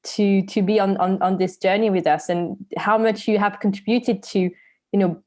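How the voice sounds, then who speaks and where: A woman's voice: mid-range voice, fairly even intonation, normal speed, a little mellow. A woman hosting a podcast interview, effusive and stumbling over repeated words. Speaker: podcast host. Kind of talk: casual interview chat.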